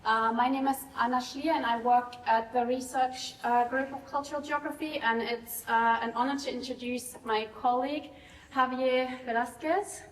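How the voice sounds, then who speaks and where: Woman host, fairly high voice, halting and filler-heavy, far off in a reverberant lecture hall. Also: fairly even intonation, slight background noise. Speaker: lecture host introducing a speaker. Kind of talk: formal introduction with many fillers. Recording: echoey hall, mic from far off.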